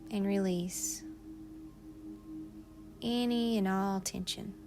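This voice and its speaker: A woman leading a guided meditation in a soothing, unhurried manner, leaving long pauses between phrases. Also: mid-range voice, fairly even intonation, music in the background.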